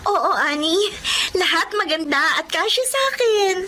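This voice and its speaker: A woman's voice: very high voice, lively intonation, moderate pace, bright, forceful tone, slight background noise, full character voice. A woman playing an earnest, dutiful young provincial daughter in a Filipino radio drama, sincere and emotive, with a Filipino accent. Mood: moved, delighted. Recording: music under the voice.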